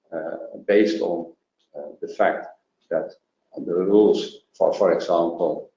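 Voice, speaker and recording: Low, mellow male presenter, hesitant, roomy dull recording. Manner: halting, many fillers and restarts. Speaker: webinar presenter. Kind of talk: presenting slides in a webinar.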